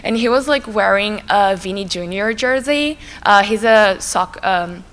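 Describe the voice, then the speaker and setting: A young woman from Brazil, a native Portuguese speaker with a Brazilian Portuguese accent, giving a relaxed, chatty school presentation full of "like" fillers. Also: medium pitch, a little slow. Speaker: student presenter. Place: school assembly hall. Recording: long reverb in a large hall.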